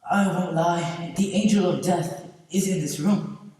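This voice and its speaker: A man on stage playing a stubborn, kindly old man, earnest and deliberate, mixing in Spanish words. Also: high voice, darkish tone, a little hiss or hum. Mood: grave. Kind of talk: stage play acting. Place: theatre stage, far camera. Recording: echoey theatre hall from far off.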